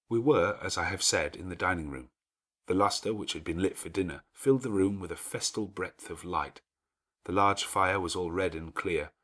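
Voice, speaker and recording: Guy reading a classic audiobook, deepish raspy voice, formal, expressive, measured delivery. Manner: measured, formal, dramatic phrasing. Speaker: audiobook narrator, actor. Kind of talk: scripted literary narration, expressive.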